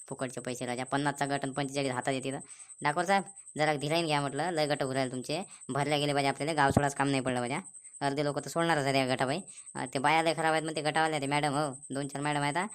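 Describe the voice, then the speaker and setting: A woman's voice: sits low, steady tone, rapid-fire, clear, resonant tone, lightly acted. A woman playing a skeptical, nagging villager in a comic cartoon, chattering warnings in a Vidarbha Marathi accent. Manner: chattering, admonishing.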